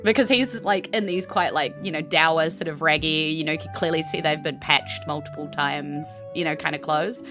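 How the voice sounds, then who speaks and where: A woman with a New Zealand accent narrates a tabletop game as its game master. Her voice sits a little low, and she improvises conversationally with faint music underneath. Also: normal speed, few pauses.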